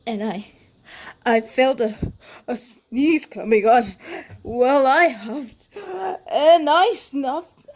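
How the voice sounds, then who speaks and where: A woman's voice: high voice, drawn-out words, long pauses, darkish tone, heavily acted. A woman voicing a chatty, folksy male wolf who indignantly justifies himself in a children's storybook. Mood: dramatic. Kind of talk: first-person children's storybook narration.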